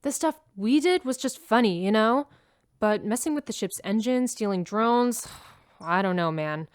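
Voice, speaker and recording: A woman's voice: fairly high pitch, expressive, measured pace, no room sound, studio quality. A woman voicing a sulky, hesitant teenage farm girl who has been grounded, in a radio drama.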